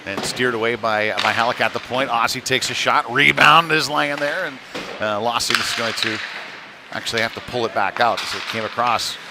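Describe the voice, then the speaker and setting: A man gives live play-by-play of a hockey game in a mid-range voice. His phrasing is halting, often pausing partway through a sentence. Clear venue noise from an indoor rink runs behind him. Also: medium pitch, level tone. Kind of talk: live sports commentary. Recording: noisy rink sound behind the voice.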